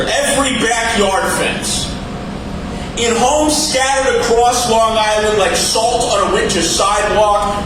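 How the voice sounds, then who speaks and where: A man reading his own poem at an open mic in a bar, with a measured, weighty delivery that lifts into emphatic lines. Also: high-pitched. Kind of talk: spoken-word poetry reading. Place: large bar event room. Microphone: venue microphone at a distance. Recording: echoey room, far-off mic, background noise.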